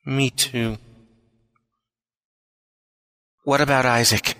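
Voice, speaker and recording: A man's voice: medium pitch, steady tone, moderate pace, pushed and bright. A man playing a loyal Federation spy wounded in love, with an emotional delivery, in an audio drama. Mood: wary, sad. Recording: long reverberant hall sound.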